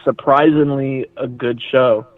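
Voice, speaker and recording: A man's voice: medium pitch, fairly even intonation, unhurried. A man appearing as a podcast guest, chatting casually with a self-deprecating, joking manner. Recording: dry, tinny phone line.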